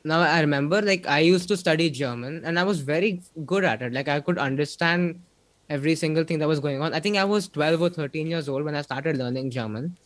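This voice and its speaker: Young man, Indian English accent, guest on a video-call interview; easygoing, filler-heavy, slightly high voice. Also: brisk, dry recording. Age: young adult. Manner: rambling, many fillers like "like" and "um". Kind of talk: casual interview chat.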